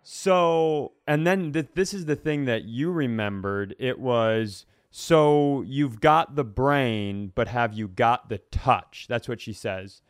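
A man co-hosting a comedy podcast in casual chat, rambling through animated, mock-serious explanations. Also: mid-range voice, takes it slowly, few pauses, clear, resonant tone, no room sound, studio quality. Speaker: podcast co-host.

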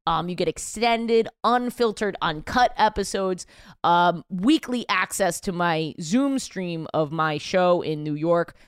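A woman's voice: fairly low pitch, takes it slowly, smooth, dry recording, clean studio sound. A young adult woman hosting a podcast, telling a story in a wry, self-deprecating, casual way. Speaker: podcast host.

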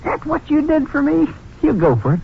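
An actor playing an eager, boastful young American car thief in a slangy, cocky manner, in a 1950s radio drama. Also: male voice, very high-pitched, wildly swooping pitch, normal speed, vocal fry, a little hiss or hum, full character voice. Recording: muffled vintage 1950s radio recording.

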